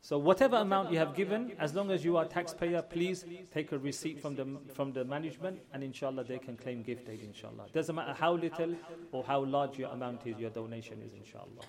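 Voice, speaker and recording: Earnest imam addressing a mosque crowd. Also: male voice, medium pitch, brisk, gravelly, hardly any pauses. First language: not Urdu. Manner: earnest, repeats phrases for emphasis. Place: large mosque hall with congregation. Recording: crowd audible behind.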